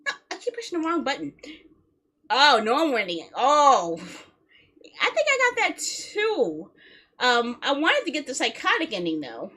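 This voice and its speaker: A woman's voice: sits high, huge pitch swings, unhurried, long pauses, pushed and bright. A woman hosting a video game livestream, chatting casually with viewers in a playful, giggly way. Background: music.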